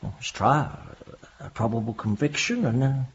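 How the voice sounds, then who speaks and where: An actor playing a reflective, gently probing prison chaplain with a husky, gravelly voice in a radio drama. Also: male voice, mid-range voice, lively intonation, normal speed, highs cut off. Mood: reflective, earnest.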